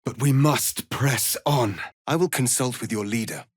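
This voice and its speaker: A stern, defiant warrior prince of an alien people for a fantasy video game. He speaks slowly and formally, with solemn authority in a mid-pitched male voice. The sound is dry, free of room echo. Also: slightly rough. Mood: solemn, determined. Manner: formal, measured, commanding, grave delivery. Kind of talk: dramatic fantasy game dialogue.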